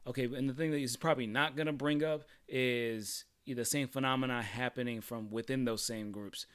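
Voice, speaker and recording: A man's voice: mid-range voice, fairly even intonation, moderate pace, fairly bright tone, smooth, dry recording. A man hosting a livestream, reacting casually in a rambling, profane way, often repeating "you know what I'm saying.". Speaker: livestream host.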